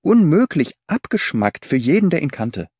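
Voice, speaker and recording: A man's voice: fairly high pitch, very animated, moderate pace, darkish tone, husky, dry recording, pitched above the performer's own voice. Man voicing a young woman in mourning, insistent, dry audiobook sound. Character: young woman, grieving fiancée, earnest. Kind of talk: audiobook character reading.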